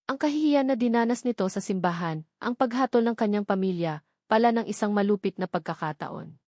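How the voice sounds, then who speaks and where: A woman's voice: mid-range voice, fairly quick, a little mellow, dry recording. Woman narrating a dramatic story, dry sound. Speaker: audiobook narrator.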